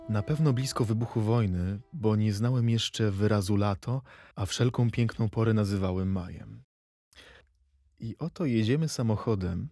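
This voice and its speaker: A man playing a poet who tenderly recalls his childhood in a reflective, deliberate literary recitation for an audio play, with expressive pauses. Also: lowish voice, no echo, clean studio sound. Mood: musing, nostalgic. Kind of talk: dramatic poetry and memoir recitation.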